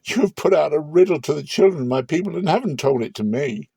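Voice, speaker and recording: High, wailing young wife, played by a man. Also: lively intonation, fast, creaky, mellow, slightly rough, no echo, full character voice. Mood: tearful reproach. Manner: wailing, accusing. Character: Samson's young wife, tearful, reproachful, pleading woman.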